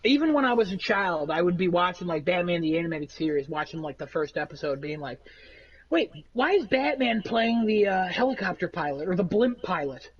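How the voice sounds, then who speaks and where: A man with a nasal voice, rambling through animated stories in a casual chat among friends. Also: high-pitched, fairly quick, highs cut off. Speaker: podcast co-host.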